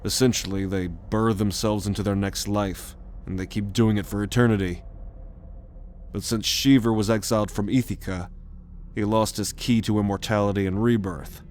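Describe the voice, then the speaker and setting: A man performs a cocky alien rogue in an audio roleplay, his voice low and commanding. He talks with dry, sardonic confidence, like the leader of a mission. A noticeable bed of ambient noise runs behind him. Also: fairly even intonation, normal speed, darkish tone, lightly acted. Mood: confident. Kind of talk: ASMR roleplay audio drama.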